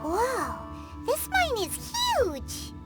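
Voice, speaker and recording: A woman voicing a small, childlike, curious floating companion in an anime-style game, with a squeaky voice. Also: wildly swooping pitch, very slow, exaggerated voice. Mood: excited, curious. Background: music.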